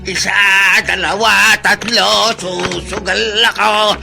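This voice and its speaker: A man's voice: high-pitched, flat delivery, slow, creaky, pushed and bright, faint music behind, full character voice. An actor playing a cranky, scolding grandfather in his seventies with a Filipino accent in a radio drama. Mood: indignant scolding. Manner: grumbling, emphatic, scornful outbursts.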